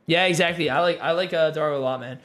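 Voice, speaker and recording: Laid-back young male streamer, higher voice. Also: quick pace, a bit bright. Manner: laid-back, filler-heavy, reads chat aloud. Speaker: gaming streamer. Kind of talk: casual live-stream chat.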